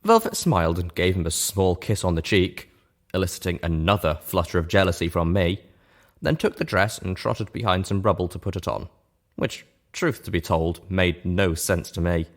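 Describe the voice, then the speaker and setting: A man's voice: deep voice, lively intonation, moderate pace. A man reading a first-person story aloud as scripted narration. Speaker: narrator, reader of fan fiction.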